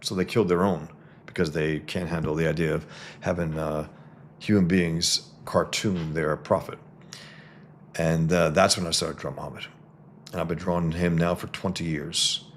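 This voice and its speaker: A cartoonist from the Bronx, talking casually to followers on a livestream, with many fillers and restarts. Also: male voice, deep voice, a little hiss or hum. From the Bronx, New York. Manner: greets viewers mid-talk.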